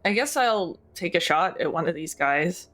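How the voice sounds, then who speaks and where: A woman playing a tabletop game, chatting casually and thinking out loud, with some noise on the recording. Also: lowish voice, animated, moderate pace.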